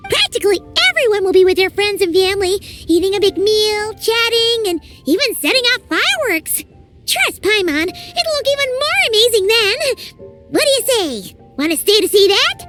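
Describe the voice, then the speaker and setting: An actress voicing a tiny, chirpy female floating companion creature who talks about herself in the third person. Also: very high-pitched. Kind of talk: video game voice acting.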